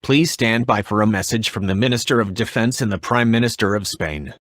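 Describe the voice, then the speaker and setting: A man's voice: lowish voice, normal speed, a bit bright, no room sound, studio quality. A man reading a scripted emergency alert in a formal, impersonal manner. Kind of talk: scripted emergency broadcast announcement.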